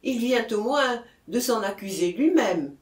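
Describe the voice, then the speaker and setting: Audiobook part, acted by a man: concerned town mayor, reasoning and coaxing, animated pitch, roomy acoustic. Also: measured pace, recorded from a distance. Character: concerned, patient, authoritative male town mayor.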